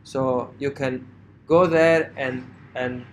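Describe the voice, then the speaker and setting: A man with a strong Italian accent lecturing a university class, speaking haltingly with many fillers and checking in with "right?". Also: medium pitch, steady tone, slight background noise.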